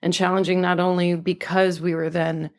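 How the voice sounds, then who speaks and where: A woman's voice: lowish voice, level tone, moderate pace. An older woman from California, a podcast guest talking earnestly and reflectively about her life. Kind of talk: conversational interview.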